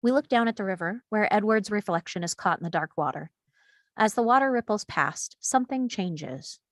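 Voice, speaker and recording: A woman's voice: mid-range voice, animated, moderate pace, dry recording. A woman reading stage directions as scripted narration at a screenplay table read.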